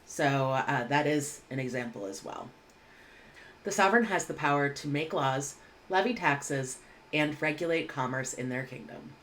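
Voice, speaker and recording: A woman, teaching English vocabulary in a clear, measured way with casual asides, recorded at home on a webcam. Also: very deep voice, a bit bright. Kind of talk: vocabulary lesson. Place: home room indoors.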